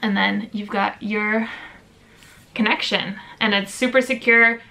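A woman's voice: mid-range voice, steady tone, a little slow, gravelly, sharp tone. A young woman walking through plumbing steps on a bus conversion, practical and step by step, with the odd "um". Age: young adult. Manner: practical, step-by-step, with frequent ums. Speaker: vlogger building out a bus. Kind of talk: DIY how-to explanation. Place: inside a bus under conversion.

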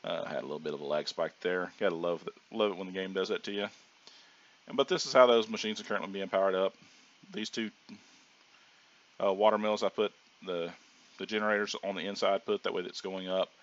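A middle-aged man with a low voice, hoarse from a cold, walks viewers through his game in a loose, rambling way. He is full of ums and half-started sentences. The audio sounds dull and lo-fi, like a cheap home microphone. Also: a little fast. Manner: relaxed, rambling with frequent ums and restarts. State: hoarse from sinus trouble. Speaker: gaming channel host. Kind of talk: casual gameplay commentary.

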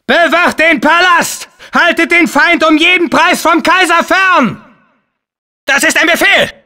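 A man's voice: extremely high pitch, a little slow, sharp tone, heavily acted. A man voicing a stern, proud young army captain in a video game, with a commanding, clipped military delivery. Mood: barking orders.

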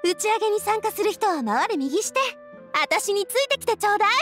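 A very high, cheerful young lady. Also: expressive, fairly quick, a bit bright. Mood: cheerful, inviting. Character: cheerful, sociable young lady hostess, peacemaking and generous. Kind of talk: Japanese video game voice acting. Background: background music.

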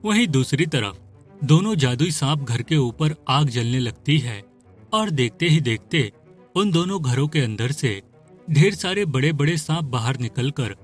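A man's voice: medium pitch, lively intonation, measured pace, faint music behind. A man narrating a scripted cartoon story as its storyteller.

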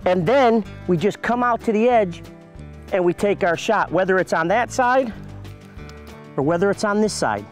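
A man in his thirties explains a hunting technique to camera in an instructional way. His voice sits high for a man, and faint music plays behind him. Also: animated, moderate pace. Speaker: presenter giving a hunting tip. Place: outdoors in leafy woods, in a tree stand. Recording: music under the voice.

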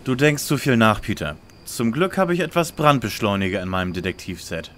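A man playing a self-assured, scheming teenage detective leader in an audio drama parody, speaking deliberately. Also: lowish voice, lively intonation, a bit bright, slight background noise.

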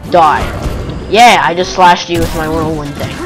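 A man's voice: a little high, fairly even intonation, unhurried. A young man giving casual, goofy gameplay commentary, reacting aloud with exclamations. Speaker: gamer. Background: game music.

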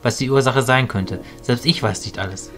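A man playing a calm, knowledgeable mentor mage, explaining in a measured way in an audio drama. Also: mid-range voice, soft background music, lightly acted. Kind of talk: hobby audio drama storytelling.

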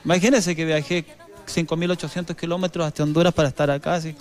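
A man in his thirties with a Chilean accent, a guest singer chatting earnestly on a TV studio set into a handheld mic, with some halting restarts. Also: on the high side, little pitch movement, soft background music. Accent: Chilean Spanish. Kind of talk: casual chat.

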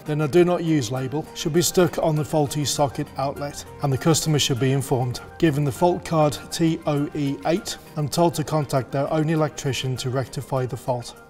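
Higher-voiced man giving safety instruction. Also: normal speed, hardly any pauses, darkish tone, soft background music. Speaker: training film contributor.

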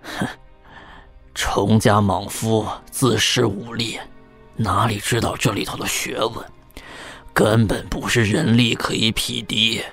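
An actor playing a frail, gloomy old artisan retainer in a wuxia audio drama, husky and gravelly, with measured, sighing delivery. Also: male voice, fairly low pitch, level tone, music in the background. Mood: scornful. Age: older.

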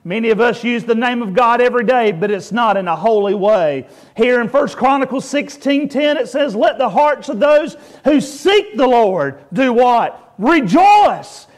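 Man, very high pitch, pastor, sermon, drawn-out emphatic preaching, asks for amens. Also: expressive. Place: church.

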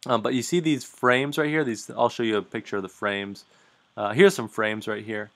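A man talking casually at his computer as he clicks through slides, his voice sitting at an ordinary middle pitch. He explains in a loose, halting way, trailing off and restarting his sentences and correcting himself as he goes. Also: steady tone. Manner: halting, many restarts and fillers, self-correcting. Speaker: hobby beekeeper explaining a slideshow.

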